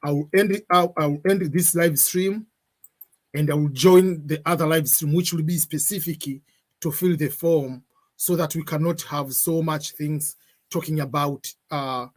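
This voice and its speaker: A man's voice: a little high, fairly even intonation, normal speed. A middle-aged man, hosting a casual live question-and-answer stream from a room at home, talking hesitantly with many fillers and mixing English with Swahili. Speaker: livestream host answering viewer questions.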